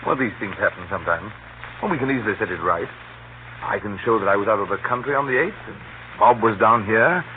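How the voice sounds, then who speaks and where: An actor playing a breezy, reassuring nephew with a jaunty, dismissive way of talking in a 1930s radio drama. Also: male voice, fairly low pitch, lively intonation, fast, lightly acted. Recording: scratchy, tinny 1930s radio broadcast.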